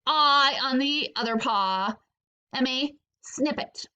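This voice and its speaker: A woman's voice: high voice, slow pace, pushed and bright, clear, resonant tone, highs cut off, full character voice. Woman voicing a snooty, bossy little rabbit creature. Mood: snippety. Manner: snippety, haughty. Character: small rude furry rabbit creature, male, bossy and snooty.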